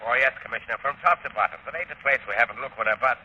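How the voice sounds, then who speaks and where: Sharp, deferential working man. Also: mid-range voice, rapid-fire. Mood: dutiful. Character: plain working-class watchman or police sergeant, deferential. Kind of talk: 1930s radio acting. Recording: muffled, hissy 1930s radio recording.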